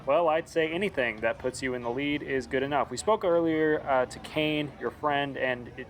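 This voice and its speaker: An actor playing a steady, polite rodeo broadcast interviewer, measured and a little folksy. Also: male voice, medium pitch, lightly acted, poor audio. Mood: professional, curious. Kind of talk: sports interview radio acting.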